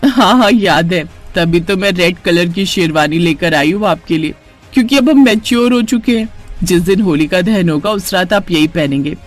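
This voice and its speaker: Man voicing high-voiced young sister-in-law. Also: normal speed, soft background music, lightly acted. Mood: cheerful. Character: cheerful, protective young married sister-in-law. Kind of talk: cartoon story character acting.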